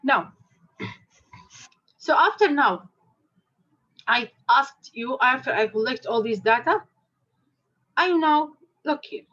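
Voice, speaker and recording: A woman's voice: high-pitched, lively intonation, pushed and bright, lo-fi sound. Woman teaching a math class. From Jordan. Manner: slow, repetitive questioning of students. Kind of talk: classroom lesson.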